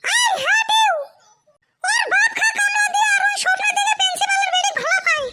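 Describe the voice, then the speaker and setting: A man voicing a haughty, scornful young college girl in a comic cartoon, with a Lower Assam rural accent and a squeaky pitched-up effect. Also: steady tone, fairly quick, exaggerated voice. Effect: extremely pitched-up squeaky voice. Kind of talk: comic meme cartoon dialogue. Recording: loud background noise.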